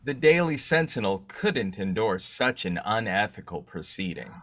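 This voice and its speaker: A man playing an urbane newspaper publisher in an old-time radio drama, measured, polite and formal with a sly streak. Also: fairly low pitch, sing-song, fairly bright tone. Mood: businesslike, sly.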